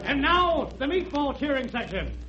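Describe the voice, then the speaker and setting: A man playing an excitable football announcer in a 1930s radio comedy. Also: very high-pitched, moderate pace. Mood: excited. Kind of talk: 1930s radio sports commentary parody. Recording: dull, noisy 1930s radio broadcast.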